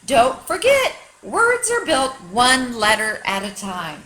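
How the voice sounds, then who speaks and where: Woman voicing a high, chirpy little bird teacher. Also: wildly swooping pitch, slow, sharp tone, heavily acted, low-quality recording. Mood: cheerful, encouraging. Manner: chirpy, singsong, gushing. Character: sweet little yellow bird teacher, female, encouraging.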